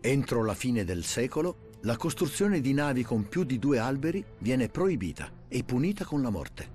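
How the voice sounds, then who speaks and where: Expressive male documentary narrator. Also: medium pitch, moderate pace, soft background music.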